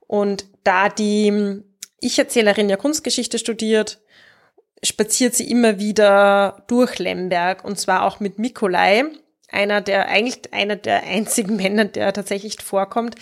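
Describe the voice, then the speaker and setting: A woman discussing books as a host, explaining things in a reflective, informal and conversational way. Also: mid-range voice.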